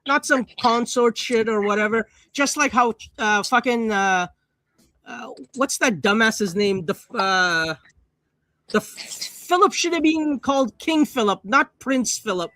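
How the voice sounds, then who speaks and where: A man bantering casually on a livestream panel, loud, combative and full of swearing. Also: extremely high pitch, unhurried, dry recording. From born in South Asia, moved to Canada at nine.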